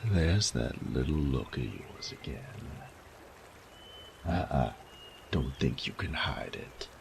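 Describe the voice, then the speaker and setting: Man playing a very deep, gravelly sadistic bandit. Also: slight background noise, exaggerated voice. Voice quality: gravelly, creaky low voice. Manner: drawling, taunting, coarse slangy speech. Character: sadistic bandit rogue, menacing, teasing, mocking, flirtatious. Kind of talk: roleplay audio drama acting.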